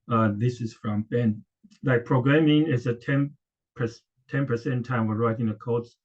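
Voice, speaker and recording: Hesitant man giving a conference talk. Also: medium pitch. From Taiwan. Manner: hesitant, frequent filler sounds and restarts. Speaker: conference speaker, professor. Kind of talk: academic conference presentation. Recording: dull lo-fi audio.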